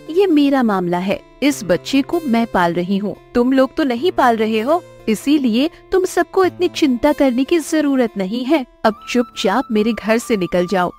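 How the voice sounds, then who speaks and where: A woman's voice: a little high, darkish tone. A woman playing a poor fisherman's wife, a tender and fiercely protective mother, with emphatic delivery in a cartoon story. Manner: emphatic, pleading, scolding. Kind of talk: Hindi cartoon story acting.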